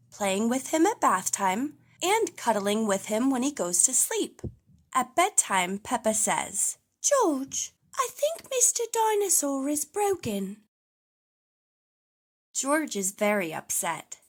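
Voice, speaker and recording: An enthusiastic woman hosts and narrates a story for toddlers. Her voice is on the high side and very expressive, and she takes her time with each clearly phrased line. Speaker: children's story reader and channel host. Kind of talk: animated read-aloud narration for toddlers.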